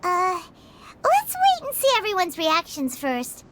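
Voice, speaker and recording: A woman voicing a tiny, excitable, nosy childlike fairy companion in a video game, with a squeaky voice. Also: a little slow, slight background noise, full character voice. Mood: curious, musing. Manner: excitable, nosy, speaks of self in third person. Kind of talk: video game character acting. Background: background music.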